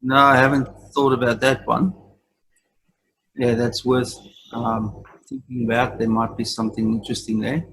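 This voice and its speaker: A man's voice: mid-range voice, flat delivery, normal speed, fairly bright tone, poor audio. A man teaching an online Bible study group over a video call, earnest and given to repeating himself. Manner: earnest, repetitive affirmations.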